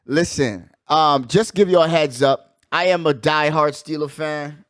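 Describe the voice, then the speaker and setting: A man's voice: fairly high pitch, a little slow, fairly bright tone, dry recording, studio quality. A man hosting a casual sports talk show, slangy and emphatic, often repeating his catchphrases. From United States. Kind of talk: casual hyped sports chat.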